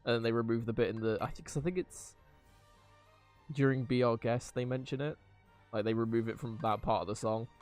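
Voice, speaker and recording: A man's voice: mid-range voice, fairly even intonation, fairly quick, a little mellow. A young man giving casual live gameplay commentary, rambling hesitantly in an amused way. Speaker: game streamer. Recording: game music behind voice.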